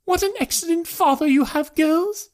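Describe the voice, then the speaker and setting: Male reader as nervy middle-aged mother for a classic novel, high-pitched and melodramatic. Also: moderate pace. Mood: delighted, gushing. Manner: shrill, dramatic, exclaiming. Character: fretful, gushing middle-aged mother, highly strung. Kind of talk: audiobook character voice.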